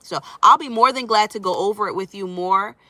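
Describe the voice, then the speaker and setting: A woman coaching viewers live on relationships, stuffy from a cold, her voice bright and forward. She talks reassuringly and casually, tossing in "right?" often. The sound is close and dry. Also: mid-range voice, fairly quick, no echo. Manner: reassuring, conversational, frequent "right?" and "you know". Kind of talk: live stream chat reading viewer comments.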